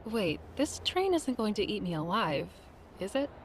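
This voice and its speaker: A woman's voice: medium pitch, sing-song, moderate pace, slight background noise, natural voice. A woman playing a wary, curious young monster hunter in a video game.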